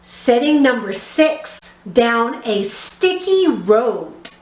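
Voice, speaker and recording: A woman giving an instructional drama lesson for young children, speaking in an expressive, encouraging, clearly enunciated way. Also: a little high, extremely slow, over music. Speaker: drama teacher. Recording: roomy, echoey sound.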